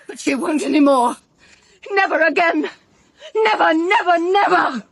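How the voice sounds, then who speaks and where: A woman's voice: very high voice, unhurried, dry recording. An actress with a British accent playing a bitter, lonely, jilted woman in an audio drama. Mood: resentful. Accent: British English. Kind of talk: full-cast audio drama acting.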